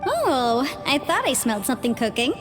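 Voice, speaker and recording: A woman voicing a cheerful, chatty young lawyer in an anime game, with a friendly, expressive manner. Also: sits high, moderate pace. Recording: long reverb, faint music behind.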